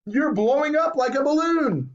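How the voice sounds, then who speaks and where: A shrieking, panicky mother, put on by a man reading aloud, her voice jumping very high and swooping wildly. She sounds shrill and frantic throughout. The recording is dull and lo-fi. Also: sing-song, normal speed. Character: shrieking frantic mother, middle-aged woman. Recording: muffled lo-fi recording.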